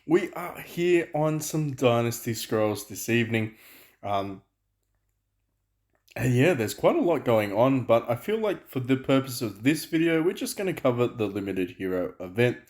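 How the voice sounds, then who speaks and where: Loud, chatty man. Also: medium pitch. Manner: loud, chatty, many fillers and restarts. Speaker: gaming channel host. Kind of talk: casual gameplay commentary. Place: home room, night, dogs and frogs outside.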